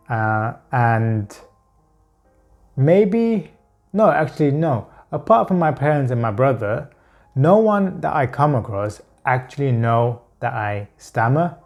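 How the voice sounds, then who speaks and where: A man who stutters, talking about his experiences with long blocks, halting pauses and repeated words. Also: mid-range voice, darkish tone. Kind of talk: personal talk to camera.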